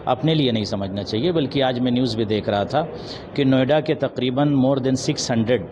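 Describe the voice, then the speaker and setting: A man answering an interview in a measured, formal, preaching manner, pausing and mixing in English words. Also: mid-range voice. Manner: measured, formal Urdu-laced diction with pauses and some English words. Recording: noisy outdoor urban street recording with traffic. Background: loud street ambience and vehicles.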